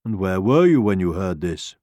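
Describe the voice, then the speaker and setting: A man voicing a wise, kind lion in a children's storybook, speaking in a calm, measured way. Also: low-pitched, no room sound, clean studio sound, pitched below the performer's own voice. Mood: calm, gentle.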